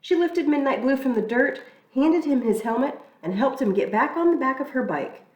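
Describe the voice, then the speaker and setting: Woman, storybook read-aloud, lively sing-song pitch, roomy echo, mic set back. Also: moderate pace, muffled recording. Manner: expressive, storytelling. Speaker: church reader. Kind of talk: children's picture book read-aloud. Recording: echoey room, mic far off.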